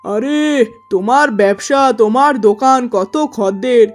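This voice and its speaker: A woman's voice: on the high side, a little slow, soft background music, natural voice. A woman voicing a pushy, indignant village customer who lives on credit, in a cartoon story.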